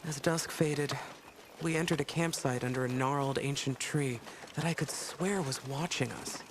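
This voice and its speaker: An actor playing a brooding inquisitor who narrates his dark fantasy journey in a video game, reflective and measured. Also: medium pitch, background noise, close to an everyday voice. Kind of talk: first-person scripted story narration.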